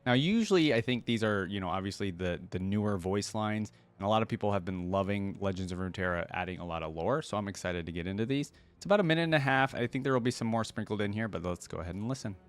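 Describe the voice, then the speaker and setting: A man hosting a reaction video, giving casual, excitable commentary in slangy language and addressing his viewers directly on a close mic. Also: on the low side, fast talker, few pauses, soft background music.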